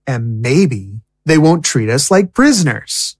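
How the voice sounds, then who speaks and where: A woman's voice: rumbling low, very animated, slow pace, darkish tone, breathy edge, no room sound, studio quality, exaggerated voice. A woman playing an impatient, outspoken young prisoner in an audio drama, with a casual, indignant way of talking.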